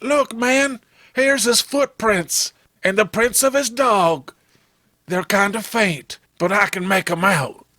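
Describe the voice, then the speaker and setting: A man's voice: high voice, slow pace, bright, forceful tone, dry recording, clean studio sound, pitched above the performer's own voice, full character voice. A man voicing an anguished, grieving backwoods swamp man in a horror story, with folksy rural speech and an overwrought, pleading manner. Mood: anxious.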